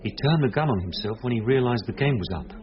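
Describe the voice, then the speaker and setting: An actor playing a calm, reassuring police detective who explains things gently in a radio drama. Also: male voice, mid-range voice, quick pace, lightly acted. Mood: matter-of-fact. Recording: slightly dull radio recording.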